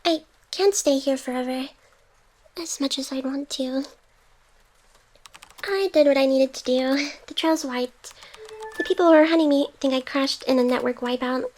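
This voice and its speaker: A woman voicing a devoted, teasing female AI hacker in an intimate ASMR roleplay, soft and tender. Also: high-pitched, moderate pace, pauses often, a little hiss or hum, natural voice. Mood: tender, wistful.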